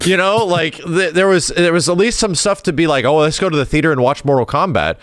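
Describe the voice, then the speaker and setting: A man's voice: a little high, brisk, fairly bright tone, no room sound. Man in dry, casual podcast chat. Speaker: podcast co-host.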